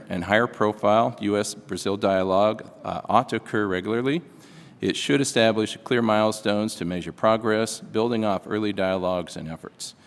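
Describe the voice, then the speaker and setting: A man with a fairly low voice reads his prepared testimony in a measured, formal way. His words ring with the long reverb of a large hearing room. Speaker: hearing witness.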